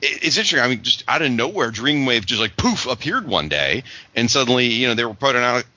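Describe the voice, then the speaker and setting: An animated man chatting loudly as a guest on a podcast. His voice is sharp and husky, bouncing up and down in pitch. The recording is dry and dull. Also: fast, no echo. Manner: loud, emphatic and animated. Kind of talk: casual chat.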